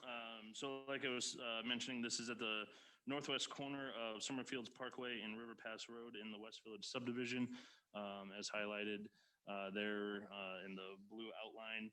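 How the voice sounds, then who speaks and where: A man's voice: fairly low pitch, flat delivery, normal speed, sharp tone, low-quality recording. A man presenting a site plan as a town planner at a council meeting, speaking formally through a face mask with frequent fillers. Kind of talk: staff presentation. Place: council chamber.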